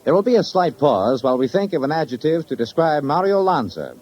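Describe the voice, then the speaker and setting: A man's voice: a little high, very animated, a little fast, a little mellow. Male vintage radio announcer, dull recording. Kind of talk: scripted 1950s network radio announcing. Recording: dull old radio broadcast, faint music.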